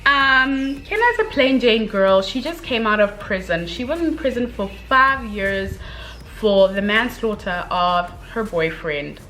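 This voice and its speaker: A young woman giving a casual, chatty book review, speaking in an expressive, gushing, slangy way with dramatic emphasis. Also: mid-range voice, unhurried, rough recording.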